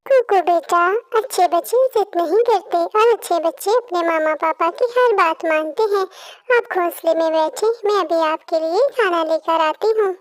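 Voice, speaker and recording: A woman's voice: very high-pitched, moderate pace, no echo. A woman voicing small birds, a devoted mother and her little chick, in a children's cartoon. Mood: tender. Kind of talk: Hindi children's cartoon voice acting.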